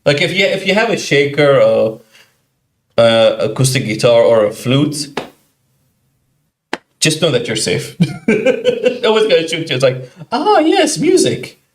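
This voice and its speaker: A man co-hosting a podcast, telling a video game story in a casual, animated way and acting out what other players said. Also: on the high side, a little hiss or hum. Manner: animated, quick, acts out quoted players. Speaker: podcast co-host.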